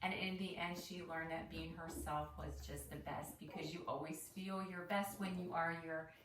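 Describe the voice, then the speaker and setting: A woman's voice: low-pitched, steady tone, normal speed, fairly bright tone. Woman teacher in an echoey room. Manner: simple, encouraging explanations with frequent check-in questions. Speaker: elementary teacher. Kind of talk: read-aloud lesson for young children. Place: indoor room with pet dogs nearby. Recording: roomy echo, distant mic, background noise.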